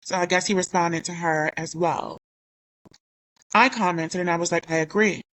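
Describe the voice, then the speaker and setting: Bright-voiced woman recovering her voice. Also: lowish voice, steady tone, normal speed, low-quality recording. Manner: indignant, rambling with self-corrections. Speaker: YouTuber. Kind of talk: commentary vlog reading comments aloud.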